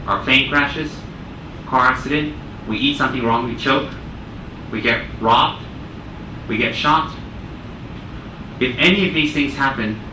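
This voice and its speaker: A man giving a Buddhist teaching talk to a group, speaking deliberately and repeating phrases for emphasis. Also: mid-range voice. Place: indoor room with listeners. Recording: roomy, distant mic, clear air-conditioning noise.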